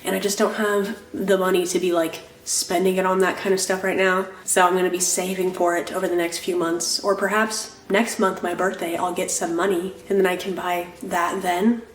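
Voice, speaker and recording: Young woman, congested and nasal, chatty rambling vlogger, low-ish voice in an echoey room. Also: flat delivery, normal speed, few pauses. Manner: rambling, lots of "like" fillers, apologetic. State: nasal from a cold. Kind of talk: casual chatty vlog.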